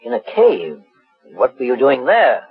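Courteous radio detective, expressive delivery. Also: male voice, mid-range voice, creaky, slightly rough, faint music behind, heavily acted. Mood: composed, probing. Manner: polite, deliberate, composed. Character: kindly, measured, courteous male master investigator. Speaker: actor, lead in a detective radio serial. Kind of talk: 1940s radio acting. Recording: muffled 1940s radio recording.

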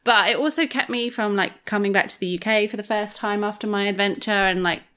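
A woman speaking over a video call at an ordinary mid pitch. She tells her story earnestly and thoughtfully, in an easy, conversational way. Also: fairly quick, a bit bright, clean tone.

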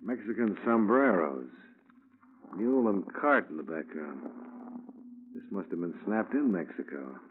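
A man's voice: low voice, big pitch swings, unhurried, long pauses, phone-quality sound, slight background noise. A man acting a tough, wry, persistent insurance investigator in a 1950s radio detective drama, with clipped delivery. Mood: brisk, matter-of-fact. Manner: clipped, wry, hard-boiled. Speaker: lead actor and first-person narrator. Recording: band-limited 1950s radio recording with music.

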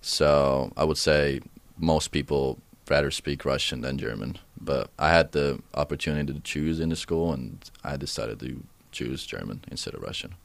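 A man's voice: a bass voice, normal speed, gravelly, no room sound. A young man from Estonia, a soccer player answering interview questions in English, hesitant, with many fillers and restarts. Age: young adult.

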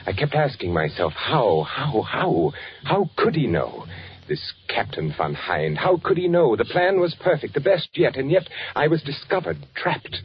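An actor playing an anxious undercover spy posing as a German architect in a 1940s radio drama, brooding and halting. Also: male voice, low voice, background noise. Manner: brooding, halting narration with repeated questions. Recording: dull vintage 1940s radio recording, music behind.

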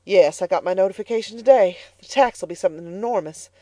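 A woman reading the part of a bitter, stingy farm mother who scolds loudly and sharply. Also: medium pitch, fast talker, no echo, lightly acted. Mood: scolding. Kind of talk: audiobook character voice.

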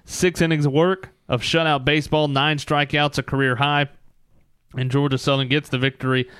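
A man's voice: on the high side, level tone, moderate pace, a bit bright, clear, resonant tone, no echo. A man hosting a sports talk show, delivering recaps fluently in short announcer-like phrases.

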